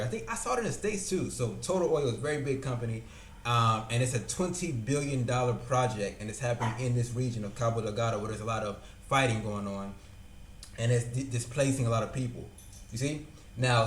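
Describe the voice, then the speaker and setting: Young man, casual news explainer, lots of ums, roomy echo, faint background hum. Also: medium pitch. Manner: loose, filler-heavy, repeats himself. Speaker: vlogger. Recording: echoey room with faint ambience.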